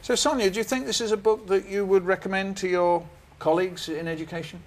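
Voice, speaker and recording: A man's voice: high voice, steady tone, fast talker. A man hosting a book programme, presenting and putting questions to a panel. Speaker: host.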